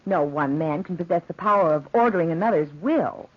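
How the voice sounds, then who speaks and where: A woman's voice: lowish voice, expressive, fairly quick. An actress playing a spirited young woman in a 1940s radio drama. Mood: sceptical. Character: sceptical, spirited young female cousin. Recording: dull old radio recording.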